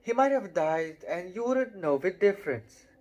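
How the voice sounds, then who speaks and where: A chatty, blunt young boy wizard who grumbles and boasts in an animated, bouncing voice, as a man puts him on. His pitch sits slightly above the reader's own. It sounds like a storybook read aloud. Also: a little slow. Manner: blunt, excitable.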